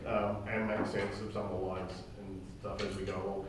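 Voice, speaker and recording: Low-voiced man in a very echoey room. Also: level tone. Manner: halting, often tags sentences with "okay?". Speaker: director and writer leading a drama table read. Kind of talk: informal briefing to a group of actors. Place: reverberant indoor room, recorded from far off. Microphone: distant room microphone. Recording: very echoey room, distant mic, faint noise.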